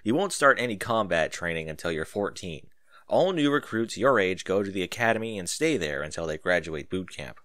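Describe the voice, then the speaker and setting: A man's voice: deep voice, big pitch swings, fairly bright tone, no echo, clean studio sound, voice pushed down. A man voicing an easygoing military captain who teases and reassures a youngster in an audiobook story. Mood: calming. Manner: teasing, unhurried reassurance to a youngster.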